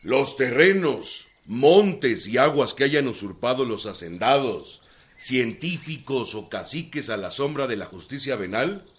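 A man acting a solemn rebel in a radio play. His mid-pitched voice is declamatory and deliberate, made to address a band of fighters. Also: expressive, lightly acted. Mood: solemn, proclaiming. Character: male revolutionary comrade solemnly proclaiming a manifesto to fighters.

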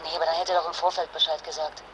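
An actress playing an anxious but determined wife and mother in a naturalistic radio drama, speaking haltingly and with emotion. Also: deep voice, level tone, noisy background, natural voice. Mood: relieved, worried.

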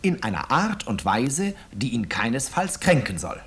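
A husky, wry male narrator. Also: mid-range voice, very animated, unhurried, fairly bright tone, exaggerated voice. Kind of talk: scripted narration in 1950s radio drama.

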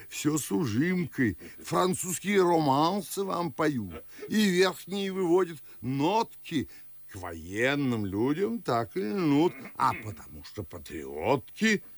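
Pompous old gentleman, husky and theatrical, very animated intonation, dry studio radio play. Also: medium pitch, dry recording, full character voice. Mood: gushing. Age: older. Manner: declamatory, theatrical, old-fashioned. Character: pompous, garrulous old Moscow nobleman and father. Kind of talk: radio play acting in verse.

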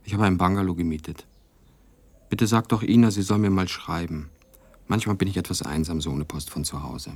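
An actor playing a reserved, lonely American writer in a radio play, with a husky voice. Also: male voice, sits low, fairly quick, a little mellow, no echo. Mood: wistful.

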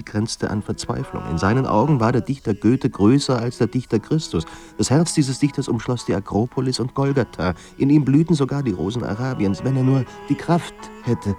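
A man performing as the grave, measured narrator of a despairing writer's life in a literary radio drama. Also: on the low side, dark tone, close to an everyday voice. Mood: intense, brooding.

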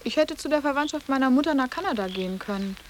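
A woman's voice: high voice, lively intonation, quick pace, a little hiss or hum. An actress playing a sarcastic, self-possessed teenage boarding-school girl in a radio drama, with a precocious, formal way of talking. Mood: dry sarcasm. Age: young.

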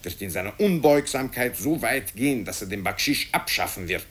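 Man acting a deep, husky, pompous politician. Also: lively intonation, normal speed, fairly bright tone. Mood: accusing, righteous. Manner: declamatory, emphatic, self-righteous. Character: pompous male politician hurling accusations at his rival.

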